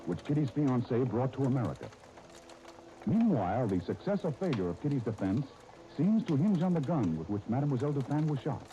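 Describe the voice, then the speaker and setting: A man's voice: medium pitch, warm tone. A man narrating a 1930s radio serial as its announcer, in a scripted dramatic style, on an old recording. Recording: muffled, noisy 1930s radio disc.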